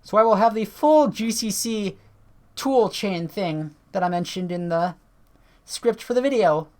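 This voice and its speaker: A woman with a tired voice, chatting casually and swearing now and then as she reads on-screen text and walks through software at her computer. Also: mid-range voice, a little slow. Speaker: tech video creator.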